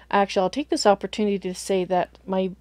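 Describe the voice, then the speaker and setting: A woman streaming a video game live, slightly hoarse from a cold, chatting with viewers and thinking aloud as she plays. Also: medium pitch, a little mellow, no room sound. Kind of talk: casual live gameplay commentary.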